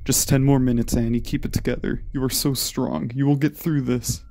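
A man's voice: medium pitch, flat delivery, fairly quick, mellow, no room sound, lightly acted. A man playing a worried young man trying to reassure his friend, earnest and subdued, in a horror story. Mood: worried, reassuring. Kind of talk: dramatised horror story reading. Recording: eerie music behind. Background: ominous background music.

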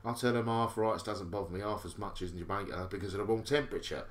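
A man's voice: on the low side, racing, natural voice. An actor playing a cheeky, flirtatious Cockney salesman in an audio drama, chatty and cajoling. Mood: sly, confiding.

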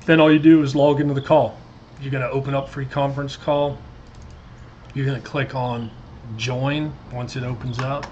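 A middle-aged American man giving a casual, explanatory screen-share software tutorial. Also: medium pitch, level tone, normal speed, dull audio, background noise. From United States. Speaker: tutorial presenter. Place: indoors at a computer desk. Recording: loud guitar music behind the voice. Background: loud guitar music.

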